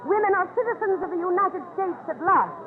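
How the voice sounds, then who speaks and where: An actress playing a fervent, triumphant suffragist in theatrical 1930s radio style. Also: female voice, very high voice, expressive, fairly quick. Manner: exclamatory, theatrical. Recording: very noisy, tinny 1930s radio.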